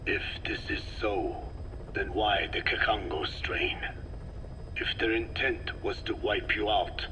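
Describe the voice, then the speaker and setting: A man playing an elderly, grave scientist in a video game, explaining things slowly and formally in a breathy, whispery voice. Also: on the low side. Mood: probing, sober. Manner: slow, deliberate, formal explanations. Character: elderly male scientist, frail, grave and measured. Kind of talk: dramatic video game voice acting. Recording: noisy field tape with steady hum.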